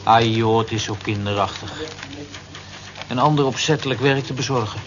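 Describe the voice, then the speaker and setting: An actor in an old radio play voicing a considerate, principled office clerk with a reflective, moralising manner. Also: male voice, mid-range voice, moderate pace, lightly acted. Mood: reproachful. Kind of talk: vintage radio play acting. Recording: dull, noisy old radio play recording.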